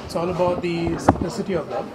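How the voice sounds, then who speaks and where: High-voiced actor over loud noise. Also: male voice, steady tone, quick pace, a loud crowd. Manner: hesitant, many fillers. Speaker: actor promoting a film. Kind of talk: press interview answers. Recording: loud background noise at a press event.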